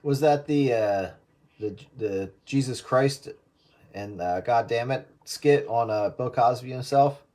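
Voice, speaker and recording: A man's voice: mid-range voice, slow. A man in his late forties chatting casually over a radio link. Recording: radio link with clear noise and clicks.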